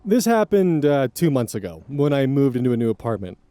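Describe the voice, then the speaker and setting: A man reading online stories aloud as host, adding blunt, profane commentary in a casual, animated way. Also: medium pitch, brisk, dry recording.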